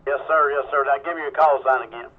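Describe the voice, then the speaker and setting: A man's voice: mid-range voice, fairly even intonation, fast talker. A man hosting an amateur radio net in a casual, folksy way as control operator. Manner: folksy, informal. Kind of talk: casual radio check-in chat. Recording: thin phone-like radio audio, webcam mic.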